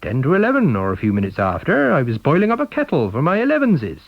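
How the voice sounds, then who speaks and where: Man playing an obliging garage owner, raised pitch. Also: huge pitch swings, fast talker, no room sound, dull audio, heavily acted. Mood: chatty, matter-of-fact. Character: obliging, chatty male garage proprietor.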